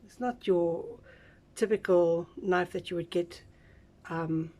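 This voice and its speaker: A woman with a slightly croaky voice, giving a chatty, relaxed step-by-step craft tutorial with small asides. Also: fairly low pitch, takes it slowly. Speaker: craft instructor.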